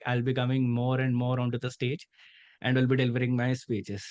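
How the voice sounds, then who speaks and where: Man, thirties, Indian English accent, native Haryanvi speaker, earnest methodical storyteller, smooth resonant voice, close dry sound. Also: mid-range voice, no echo, muffled recording. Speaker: guest. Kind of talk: interview answer, storytelling.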